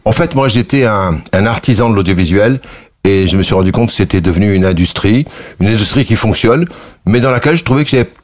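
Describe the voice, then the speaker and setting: Man in his sixties, from Algeria, Kabyle native speaker, low voice, thoughtful, hesitant restarts. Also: animated, fast talker. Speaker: guest, former journalist. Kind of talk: reflective interview answers.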